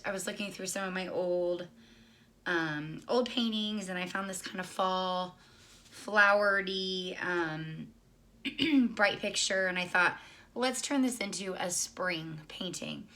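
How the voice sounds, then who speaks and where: A woman with a scratchy, hoarse voice from being sick, casually talking through a painting tutorial with lots of ums and restarts. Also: medium pitch, bright, forceful tone. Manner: chatty, many ums and restarts. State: hoarse, recovering lost voice. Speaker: painting instructor.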